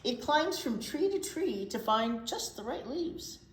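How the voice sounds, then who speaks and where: A woman's voice: high-pitched, sing-song, unhurried. A woman reading a picture book aloud to young children, with simple explanations and chatty asides. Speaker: children's storytime host, librarian. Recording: echoey room with faint background noise.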